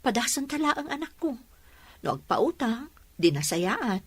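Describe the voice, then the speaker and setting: A woman with an Ilocano accent playing an ailing but resolute mother in a radio drama, speaking earnestly in a plaintive, measured way. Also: fairly high pitch, very animated, breathy edge, no echo, heavily acted. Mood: worried, hopeful.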